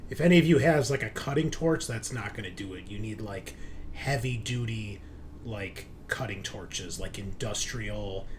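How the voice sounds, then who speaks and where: Low-voiced man over loud background noise. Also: normal speed. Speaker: game master. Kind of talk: casual tabletop roleplaying game narration and explanation.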